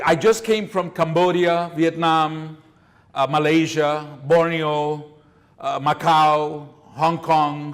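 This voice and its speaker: A man's voice: on the high side, a bit bright. An older man lecturing at a public seminar, speaking emphatically and repeating words to drive points home. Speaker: guest speaker, educator. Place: lecture hall, at a podium. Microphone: podium microphone.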